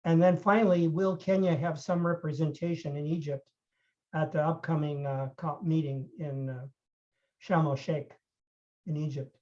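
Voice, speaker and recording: A man interviewing a guest, putting his questions together haltingly in a conversational way. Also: on the high side, steady tone, a little mellow. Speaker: interviewer, university president. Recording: dull, band-limited call audio.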